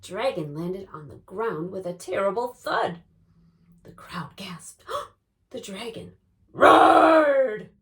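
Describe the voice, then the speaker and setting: A woman reading a picture book aloud to young children as an expressive, dramatic storyteller, swinging between hushed and booming delivery. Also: unhurried.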